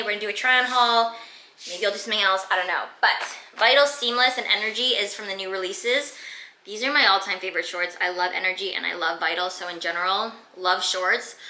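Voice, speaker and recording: A young woman in her mid-twenties, from Canada, runs through viewer questions in a relaxed vlog chat. Her voice is bright and forceful, and she leans on lots of casual filler words. The room around her sounds echoey. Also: mid-range voice. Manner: chatty, many "like" fillers. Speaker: fitness vlogger.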